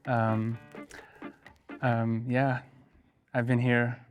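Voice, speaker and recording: A man with a faded Scouse accent, speaking slowly and hesitantly in a group chat. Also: medium pitch, flat delivery, soft background music. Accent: muddled Scouse. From Liverpool, England.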